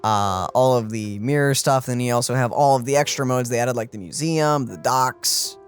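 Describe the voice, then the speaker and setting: A man's voice: medium pitch, normal speed, soft background music. A young man co-hosting a let's play, chatting casually about games. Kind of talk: casual gaming chat.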